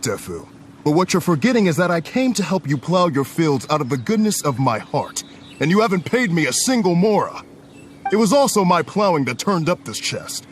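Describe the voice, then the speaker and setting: A man's voice: on the high side, a little hiss or hum. A man voicing an indignant farmhand who argues he is owed a share. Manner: indignant, pleading his case.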